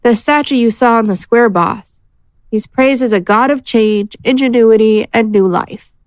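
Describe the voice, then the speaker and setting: A woman's voice: on the high side, unhurried, no echo, natural voice, rough recording. A woman playing a learned, matter-of-fact adventurer who explains things articulately in a fantasy audio drama. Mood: explaining. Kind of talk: scripted audio drama acting.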